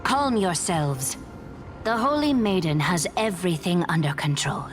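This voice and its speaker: A calm townswoman of an ancient fantasy city. Her voice is slightly low and reassuring, with quiet authority, over faint game music. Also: measured pace. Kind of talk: scripted game drama.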